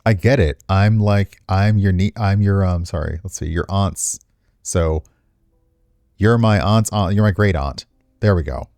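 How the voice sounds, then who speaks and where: A man casually commenting and reading aloud as he streams a visual novel, chatty and expressive. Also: sits low, measured pace, creaky, dry recording, studio quality, faint music behind. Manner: chatty, expressive, reacts with asides to what is read. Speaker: streamer, let's player.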